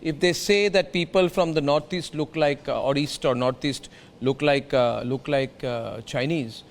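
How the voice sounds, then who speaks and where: A man's voice: a little high, steady tone, unhurried, gravelly, a little hiss or hum. A man with an Indian accent hosting a heated TV news debate, speaking combatively and insistently, repeating himself and hammering rhetorical questions. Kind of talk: heated political debate and commentary.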